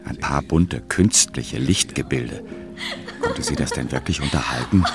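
An actor playing a pensive, watchful man narrating a literary radio drama with quiet jealousy. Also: extremely low pitch, animated, unhurried, exaggerated voice. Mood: brooding. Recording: studio narration under loud music.

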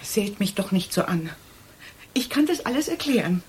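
Agitated, pleading woman clerk, swooping pitch. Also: sing-song, moderate pace, darkish tone, breathy edge. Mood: pleading, distressed. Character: troubled, defensive female insurance office clerk. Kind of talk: 1980s German radio drama acting. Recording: noisy archive radio drama recording.

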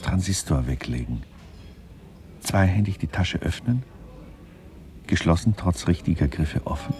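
Radio play narrator: extremely low, dark, mellow, slow and deadpan, observing in clipped fragments. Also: male voice, faint music behind. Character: detached male narrator minutely observing people.

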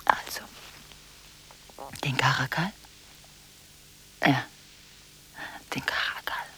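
An actress playing a volatile, emotionally contradictory married woman making frantic phone calls in a radio drama, swinging abruptly between hushed and agitated delivery. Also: a bass voice, extremely slow, whispered, heavily acted, poor audio. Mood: seductive, conspiratorial. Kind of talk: 1980s German radio drama monologue.

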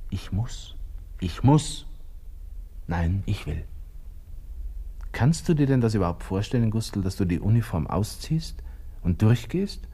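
Radio drama: agitated young Austrian lieutenant, low register, Viennese accent, colloquial inner monologue, proud and brooding. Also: male voice, very animated, unhurried, long pauses, mellow, breathy edge, full character voice. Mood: agitated resolve. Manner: colloquial, muttering to himself, abrupt self-questioning.

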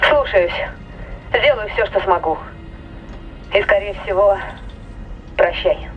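An actress playing an alarmed but dutiful spaceship crew member in an audio drama, speaking gravely and emphatically through a radio filter. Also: female voice, mid-range voice, expressive, takes it slowly, phone-quality sound, soft background music. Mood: resigned. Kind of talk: dramatic audio play acting.

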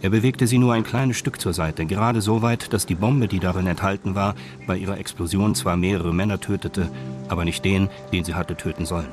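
A man's voice: sits low, fairly even intonation, brisk, music in the background. A man reading scripted narration for a crime radio drama.

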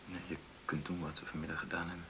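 An actor in a radio drama playing an earnest, conciliatory middle-aged husband who stammers and searches for words in a creaky voice. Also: male voice, deep voice, level tone, fast, a bit bright, a little hiss or hum. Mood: coaxing, tender. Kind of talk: naturalistic radio drama dialogue.

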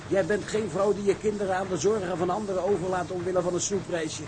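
A man's voice: sits high, steady tone, quick pace, noisy background, natural voice. Ernstige man met hoge stem, een acteur. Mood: serious. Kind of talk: Dutch period drama acting.

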